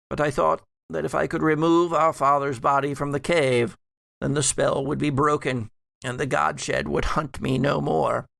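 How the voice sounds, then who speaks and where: A man playing the bitter, eloquent ghost of a family ancestor in a gothic roleplay game, speaking in formal, halting, deliberate phrases. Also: on the high side, vocal fry, fairly bright tone, dry recording, exaggerated voice. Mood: grave, confessing. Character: ghostly male ancestor, eloquent, bitter, confessing remnant of himself. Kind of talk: actual-play gothic horror roleplay.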